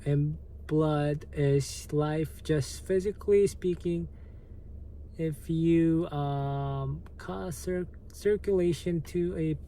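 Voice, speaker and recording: A man with a Korean accent, a native Korean speaker, hosting a livestream and answering viewer comments in a halting, hesitant way with lots of fillers. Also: a little high, dark tone, a little hiss or hum, rough recording. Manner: slow, halting, many fillers and restarts. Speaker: livestream host.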